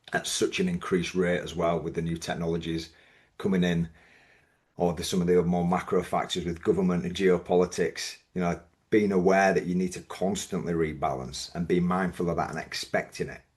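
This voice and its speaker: Yorkshire man, middle-aged, deep voice, measured reflective guest. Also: a little mellow. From Yorkshire, England. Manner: thoughtful, measured, with frequent restarts. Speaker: business owner guest. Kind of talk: reflective podcast interview.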